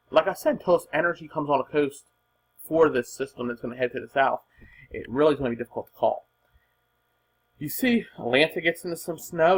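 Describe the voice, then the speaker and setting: A man with a husky, rough-edged voice talks through a weather forecast off the cuff. His pitch moves around a great deal as he stresses his points. He sounds like an informal forecaster, emphatic and given to repeating himself. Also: rough recording. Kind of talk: informal weather model commentary, unscripted.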